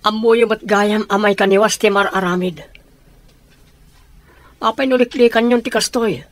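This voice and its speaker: An actor playing an earnest, peace-seeking son who pleads and reasons, in an Ilocano Filipino radio drama. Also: male voice, high-pitched, steady tone, moderate pace, no room sound, natural voice. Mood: earnest pleading.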